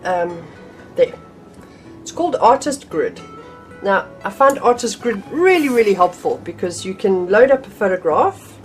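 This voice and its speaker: A woman's voice: medium pitch, big pitch swings, measured pace, faint music behind. A middle-aged woman teaching drawing from her home art room, chatty and a little nasal from a cold, with frequent ums and restarts. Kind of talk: casual art tutorial.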